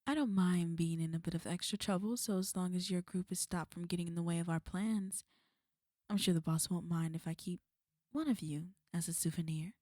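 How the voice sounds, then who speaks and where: A woman playing a smug, menacing villain in an ASMR roleplay, taunting and threatening in a cool, unhurried way. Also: lowish voice, a little mellow, dry recording, clean studio sound, close to an everyday voice. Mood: firm, coaxing. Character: smug, menacing female villain, fond of an enemy.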